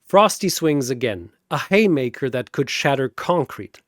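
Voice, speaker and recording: A man narrating a scripted comic fantasy battle in a theatrical, deadpan manner. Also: mid-range voice, a little slow, dry recording, studio quality.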